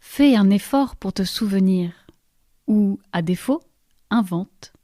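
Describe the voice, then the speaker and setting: Woman reciting poetic lines, really slow and soft-toned, solemn. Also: mid-range voice, no echo, clean studio sound.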